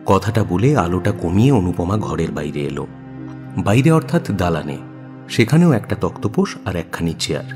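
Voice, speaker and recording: A man's voice: sits low, very animated, moderate pace, music in the background. A man narrating a scripted audio story expressively, in the role of narrator.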